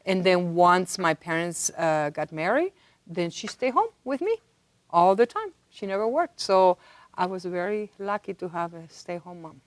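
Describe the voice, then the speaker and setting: Female interview guest, slightly low voice, casual conversation, dry studio sound. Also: animated, normal speed, dry recording. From Madrid, Spain. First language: Spanish. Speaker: interview guest, university director.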